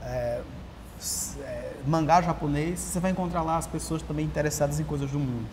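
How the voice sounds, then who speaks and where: Animated Brazilian man in an echoey hall. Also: on the high side, fairly quick. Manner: animated storyteller, frequent restarts. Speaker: writer and journalist, guest. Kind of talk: casual interview talk. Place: large empty auditorium with red seats. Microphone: lapel mic. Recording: long hall reverb, faint hiss.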